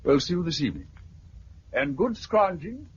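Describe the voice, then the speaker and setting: A man with a British accent playing a brisk, affable organiser of survivors in a 1950s radio drama. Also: a little high, sing-song. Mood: brisk, organising. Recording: muffled, hissy 1950s radio recording.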